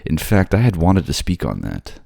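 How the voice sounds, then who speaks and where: Man playing a suave, deep-voiced merman king. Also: huge pitch swings, normal speed, vocal fry, dry recording, studio quality, heavily acted. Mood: earnest, soothing. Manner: courtly, gently teasing, indulgent. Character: suave, doting young merman king with courtly charm. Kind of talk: romantic audio roleplay acting.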